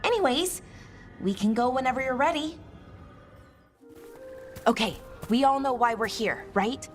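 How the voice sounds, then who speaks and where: Casting: a friendly, easily flustered female game mage, light higher voice, keen and talkative. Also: animated, a little slow, soft background music. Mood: brisk, friendly. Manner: chatty, eager. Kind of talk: video game cutscene acting.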